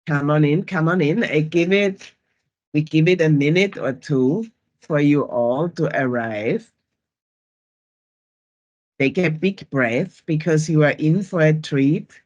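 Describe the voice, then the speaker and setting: A woman's voice: low voice, takes it slowly, long pauses, darkish tone, muffled recording. A woman with an Austrian German accent moderating an online event on a video call, upbeat and welcoming as she greets the audience.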